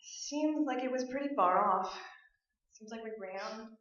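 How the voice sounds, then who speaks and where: A woman's voice: on the high side, a little slow, fairly bright tone. A woman playing a weary, anxious, hesitant mother of a hurt child in a staged radio play. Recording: echoey stage, distant dull pickup.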